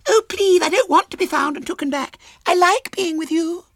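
A man's voice: very high-pitched, moderate pace, a bit bright, dry recording, clean studio sound, voice pushed up. Man putting on a piping, sweetly wilful little girl. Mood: sweet, pleading.

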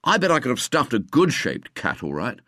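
A man's voice: medium pitch, wildly swooping pitch, moderate pace, bright, forceful tone, no echo, studio quality, exaggerated voice. A man voicing a brash, confident schoolboy ringleader, eager and breezy.

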